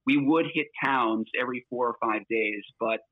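A man's voice: medium pitch, moderate pace, dry recording. A man talking as a hiker guest on a podcast, earnest and full of fillers, heard over a remote call. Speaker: guest, long-distance hiker. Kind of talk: conversational interview. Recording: tinny phone line, dry.

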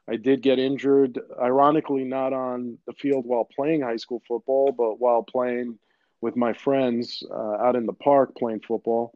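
A man from Queens, New York, whose first language is Greek, explaining his path to becoming an orthopedic surgeon in a measured way with frequent fillers. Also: medium pitch, level tone, no room sound. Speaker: orthopedic surgeon, podcast guest. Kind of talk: conversational interview answers. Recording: dull, dry, lo-fi sound.

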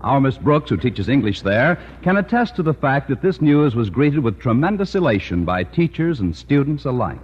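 Animated American radio announcer, man, ringing hall. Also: medium pitch, moderate pace, few pauses, slightly rough. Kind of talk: 1940s-50s radio announcing, scripted narration. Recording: dull old radio, reverberant hall, faint noise.

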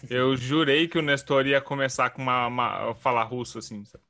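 A man's voice: medium pitch, steady tone, normal speed, sharp tone. A man co-hosting a podcast, friendly and joking with fillers. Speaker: podcast co-host. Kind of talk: casual podcast chat.

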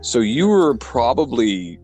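Halting American man hosting a casual interview. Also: medium pitch, big pitch swings, a little mellow, soft background music. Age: mid 30s. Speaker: podcast host, interviewer.

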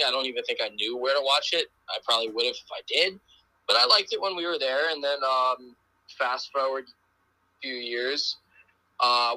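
A man's voice: medium pitch, no echo, low-quality recording. A man in his twenties, a wrestler guest on a podcast, telling his story in a loose, rambling way full of "like" and "um". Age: 20s. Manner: relaxed, rambling storytelling with many "like" and "um". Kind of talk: casual podcast interview.

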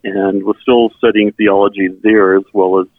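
A middle-aged man from South Texas whose first language is German, telling his personal story in an earnest, halting way as an interview guest. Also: lowish voice, level tone, dry recording. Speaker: interview guest, member services volunteer. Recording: tinny phone-like sound, band-limited.

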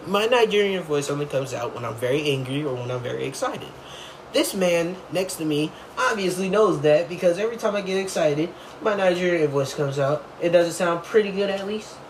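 A young man in casual chat, animated and jokey, often laughing. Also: a little high, a little fast, poor audio. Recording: faint background noise.

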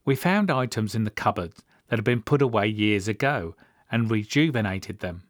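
A man's voice: lowish voice, lively intonation, normal speed, dry recording, clean studio sound. A mature man hosting a podcast, narrating memories from his own life in a personal, storytelling way. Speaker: podcast host.

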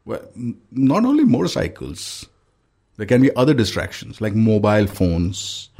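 A male podcast co-host introduces segments and guests in an easygoing, announcer-like way. His pitch swings very widely, rising and falling all the time. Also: huge pitch swings, moderate pace, vocal fry, a little mellow, slightly rough. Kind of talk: radio-style podcast hosting.

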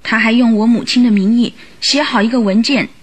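High-voiced, anxious young gentlewoman, played by an actress. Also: fairly quick, lightly acted. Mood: sorrowful recounting. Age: young adult. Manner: polite, hesitant. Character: frightened, polite, sorrowful young gentlewoman seeking help. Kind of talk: Chinese radio drama acting.

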